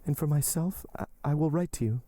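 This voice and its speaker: A man's voice: fairly high pitch, fairly quick, mellow, voice pushed up, close to an everyday voice. A man reading an audiobook, voicing a devoted young woman with a trembling, imploring delivery. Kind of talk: audiobook character voice.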